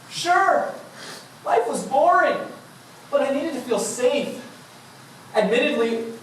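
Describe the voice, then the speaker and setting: A man delivering a theatrical tall-tale contest speech with dramatic emphasis and pauses, speaking in a large room. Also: extremely high pitch. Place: large indoor conference room before an audience. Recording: echoey room from far off, faint noise.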